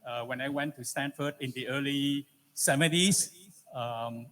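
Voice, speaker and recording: Halting older Vietnamese-accented man, noisy room. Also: mid-range voice, fairly bright tone. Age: 70s. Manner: halting, frequent pauses and "you know". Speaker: alumni speaker, technology entrepreneur. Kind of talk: informal introduction and advice to an audience. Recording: noisy live event room.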